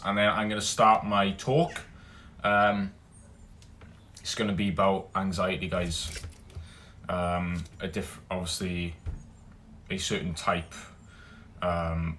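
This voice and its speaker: A young Welsh man hosting a casual livestream, greeting viewers by name and chatting loosely, loud when he greets people and low and mumbled when he muses. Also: a little hiss or hum. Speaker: livestream host. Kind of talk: casual livestream chat reading comments.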